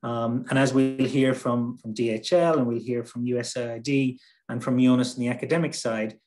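A man's voice: mid-range voice, fairly even intonation, normal speed, a little mellow. A man giving a conference presentation over a video call, speaking conversationally with many fillers and restarts. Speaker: presenter from a humanitarian logistics nonprofit. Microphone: computer mic on a video call.

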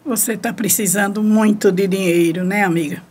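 A woman's voice: mid-range voice, steady tone, moderate pace, a little mellow, husky, natural voice. An actress playing a practical, curious woman friend in a radio soap opera, with a Brazilian accent. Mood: inquisitive.

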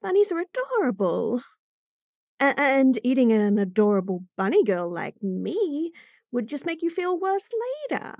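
A woman's voice: a little high, very animated, measured pace, darkish tone, no room sound, clean studio sound, full character voice. A woman voicing a bratty, flustered young bunny girl who stammers and giggles nervously in a roleplay audio.

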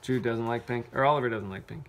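A woman with a low voice reads a picture book to children at home. She chats casually between passages and asks simple questions. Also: fast, a little hiss or hum. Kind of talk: casual storybook read-aloud with questions. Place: carpeted room at home.

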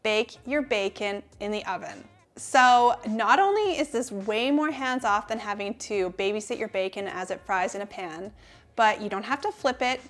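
A woman's voice: on the high side, fairly bright tone. A woman in her thirties hosting a cooking channel, talking through kitchen tips to camera in an upbeat, friendly way from her home kitchen.

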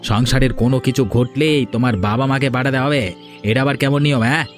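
A man's voice: medium pitch, steady tone, fast, soft background music, close to an everyday voice. A man voicing a grumbling, selfish, defensive village husband in a cartoon. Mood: grumbling, irritated. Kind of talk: Bengali cartoon dialogue.

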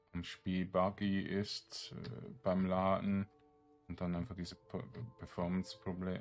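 A man giving casual gameplay commentary, musing aloud to himself in short, halting remarks. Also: low-pitched. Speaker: gamer, let's play host.

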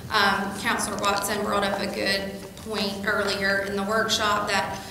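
A woman city clerk explains a budget process in an even, procedural way, filling pauses with ums. Her voice holds a flat, narrow pitch. It rings through a large, reverberant council chamber with clear room noise behind it. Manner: steady, procedural, with frequent ums. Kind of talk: meeting procedure and budget presentation. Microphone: desk mic.

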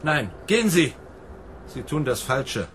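An actor playing a gentle, grief-stricken loner, hurt and reproachful, in a German radio drama. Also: male voice, mid-range voice, big pitch swings, slow, noisy background. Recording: studio radio drama with music behind.

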